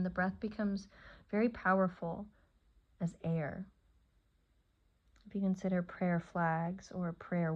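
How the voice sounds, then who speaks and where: Woman doing yoga nidra, super flat soothing delivery, lots of vocal fry, long pauses, bit muffled. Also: lowish voice. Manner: soothing, unhurried, with long pauses between short phrases. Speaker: yoga nidra teacher and meditation guide. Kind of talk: guided meditation.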